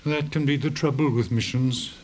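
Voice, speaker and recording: A man's voice: medium pitch, fairly even intonation, moderate pace, darkish tone, natural voice. A man acting in a radio drama as an elderly, weary schoolmaster, speaking formally and hesitantly with dry irony. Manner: formal, hesitant, drily ironic. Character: elderly weary schoolmaster, courteous and drily ironic.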